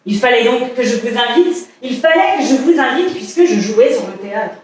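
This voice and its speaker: In a heavily reverberant room, some way from the mic, an actress plays a lovesick woman with a slightly high voice. She is anguished and insistent, dramatic and halting, repeating herself like an incantation in a poetic stage monologue. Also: animated, close to an everyday voice. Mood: nostalgic, intense. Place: staged reading in a reverberant indoor space. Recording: very echoey room, far from mic.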